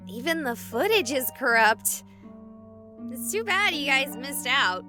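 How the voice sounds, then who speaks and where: A woman's voice: high voice, sing-song, measured pace, over music. An actress playing a chatty, jokey young woman who hunts ghosts on a livestream, theatrical and wisecracking, in a visual novel game. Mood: breezy, apologetic. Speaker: live-streaming ghost hunter. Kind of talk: dramatic visual novel voice acting.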